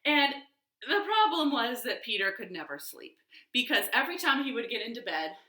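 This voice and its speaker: A woman telling an interactive children's story in an animated way, drawing out words, in an echoey living room. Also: fairly high pitch, normal speed.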